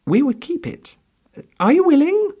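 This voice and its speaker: A man voicing a wilful, spoiled young wealthy woman who pleads in a trembling, breathy, childlike falsetto. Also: huge pitch swings, a little slow, dark tone, muffled recording, full character voice. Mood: anxious pleading.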